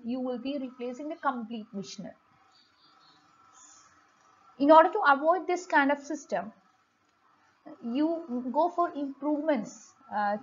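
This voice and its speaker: High-pitched woman lecturer, halting delivery. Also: a bit bright, husky. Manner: halting, with frequent pauses and repeated words. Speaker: lecturer, host of an economics channel. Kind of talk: explanatory lesson read from slides. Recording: dull, lo-fi home recording.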